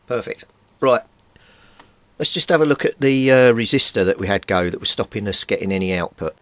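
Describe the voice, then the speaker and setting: A middle-aged man with a British accent and a fairly low voice presents a casual hands-on demonstration. He talks informally, thinking aloud as he handles things at an indoor workbench. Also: expressive, fairly quick, a bit bright, no room sound. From Britain. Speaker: hobbyist radio repairer presenting a video.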